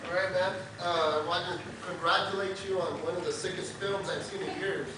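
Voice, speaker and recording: A man's voice: high voice, steady tone, fairly quick. A man in the audience making casual, enthusiastic comments with crude slang. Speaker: audience member. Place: theater audience. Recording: very echoey noisy theater, distant mic.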